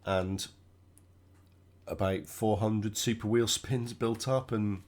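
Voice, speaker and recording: A man talks through his racing game in a relaxed, chatty way, his voice croaky and stuffed up from a cold. He sits a little low in pitch and keeps thinking out loud as he drives. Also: slow pace. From United Kingdom. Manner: relaxed, chatty, thinking aloud while driving. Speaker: gaming channel host. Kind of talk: casual gameplay commentary.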